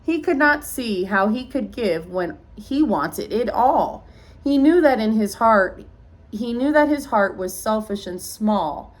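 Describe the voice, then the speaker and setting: High-voiced woman reading a children's story aloud. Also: slow pace. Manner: expressive storytelling, addressing children. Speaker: teacher reading a picture book aloud. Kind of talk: read-aloud of a rhyming children's story with asides to young listeners.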